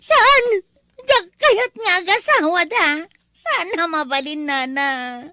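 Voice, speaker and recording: A woman's voice: very high-pitched, expressive, takes it slowly, sharp tone, no room sound, clean studio sound, exaggerated voice. A woman with an Ilocano accent playing a whiny, jealous woman in a comedy-drama. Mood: whiny, sulky.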